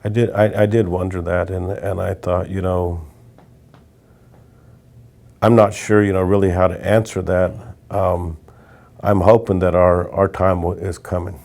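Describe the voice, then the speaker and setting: A man's voice: deep voice, flat delivery, measured pace, vocal fry, long pauses, darkish tone. A man answering interview questions in a broadcast studio, talking hesitantly and restarting his sentences.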